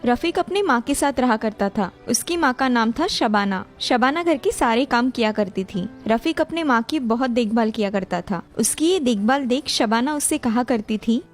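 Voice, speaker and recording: Woman narrator, somewhat high pitched, scripted storytelling, quiet music bed. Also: lively intonation, normal speed, few pauses.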